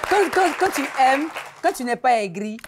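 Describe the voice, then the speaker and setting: A woman from Côte d'Ivoire, a singer guest on a talk show, chatting in a lively, playful way and laughing often. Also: high-pitched, normal speed, crowd noise, background noise. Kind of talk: casual talk show chat.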